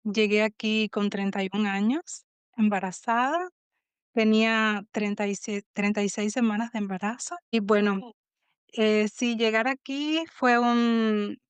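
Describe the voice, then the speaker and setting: A Cuban woman in her thirties, a podcast guest telling her story in a reflective, halting way with many restarts. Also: on the high side, smooth, no room sound. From Cuba. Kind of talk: casual interview.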